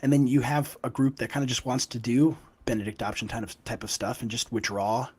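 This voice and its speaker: A young man with a hoarse, gruff voice from a cold, a guest author talking emphatically in an interview and often tagging points with "right?". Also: mid-range voice, fairly even intonation, fairly quick, a little mellow. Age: young adult. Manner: emphatic, with frequent "right?" tags. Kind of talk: conversational interview.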